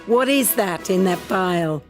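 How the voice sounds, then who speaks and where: An actress playing a determined, vengeful seafaring adventurer with deliberate intensity in an audio drama. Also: female voice, mid-range voice, animated. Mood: questioning. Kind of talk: swashbuckling audio drama acting. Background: dramatic music.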